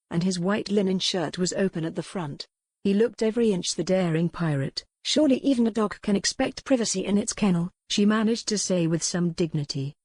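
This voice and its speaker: A woman's voice: on the low side, a little mellow, no echo. A woman reading scripted narration of a historical romance novel as an audiobook narrator.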